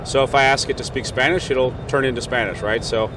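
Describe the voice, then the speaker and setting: A man's voice: mid-range voice, steady tone, fairly quick, very noisy. A man giving an informal product demonstration, explaining step by step in a conversational way on a trade show floor. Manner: conversational, checks in with "right?". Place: trade show exhibition hall.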